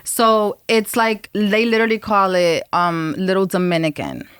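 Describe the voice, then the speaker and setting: A woman from New in her thirties, chatting as a guest in a lively way, laughing often and mixing in Spanish words. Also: mid-range voice, moderate pace, clear, resonant tone, dry recording, studio quality. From New York (Dyckman, Bronx area). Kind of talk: casual chat. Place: recording studio.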